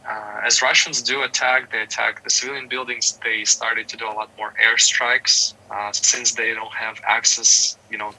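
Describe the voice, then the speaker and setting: A man's voice: mid-range voice, sharp tone, slight background noise, rough recording. A man in his thirties from Ukraine, an interview guest explaining things earnestly and in detail, often saying "you know". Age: 30s. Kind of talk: recorded interview.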